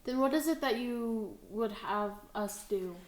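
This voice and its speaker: A woman voicing a chorus of cautious old men who speak in a hushed, deferential way. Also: a little high, a little slow, lightly acted. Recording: roomy echo.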